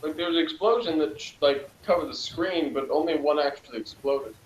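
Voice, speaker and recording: Higher-voiced man in an echoey room. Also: fairly quick. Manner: dismissive, rambling with fillers. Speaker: podcast co-host. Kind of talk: casual review chat. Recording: echoey room with faint noise.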